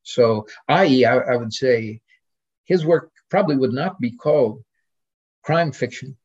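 A man speaking as a guest scholar in an informal academic discussion, in a hesitant, digressive manner with a husky voice. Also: mid-range voice, unhurried, darkish tone, lo-fi sound.

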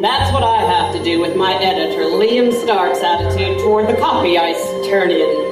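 A woman's voice: mid-range voice, close to an everyday voice. Woman playing a wry Victorian reporter, roomy sound. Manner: measured, formal storytelling. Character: intrepid, wry Victorian woman newspaper reporter recounting a mystery. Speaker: narrator. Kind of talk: scripted audio drama narration. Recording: roomy, distant voice over music.

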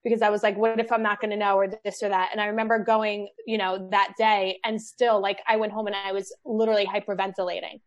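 A woman's voice: medium pitch, flat delivery, fast, few pauses, dull audio. A woman talking casually on a podcast, rambling through her story with frequent restarts. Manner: rambling, restarts often, self-deprecating. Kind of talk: casual chat.

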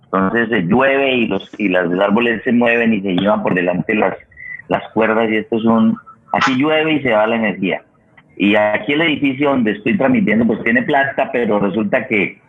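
A man with a Colombian accent hosting a programme off the cuff, often repeating words and restarting his sentences. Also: on the low side. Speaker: host of an online radio programme. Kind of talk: unscripted hosting talk. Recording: tinny, phone-like internet connection.

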